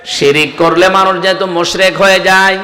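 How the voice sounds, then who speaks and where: A man's voice: sits high, steady tone. A man preaching a fiery, exhorting Islamic sermon to a gathering. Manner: exhorting, calls on listeners to answer louder; breaks into slow melodic Arabic recitation. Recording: echoing PA sound with long reverb.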